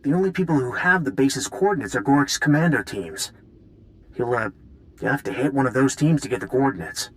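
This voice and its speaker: A man's voice: medium pitch, expressive, fairly quick, natural voice, low-quality recording. Game role, male actor: anxious male weapons designer, mid-range, stammering, remorseful, eager to help. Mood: nervous, earnest. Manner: hesitant, stammering, apologetic. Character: nervous, guilt-ridden male military weapons designer. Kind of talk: video game cutscene acting.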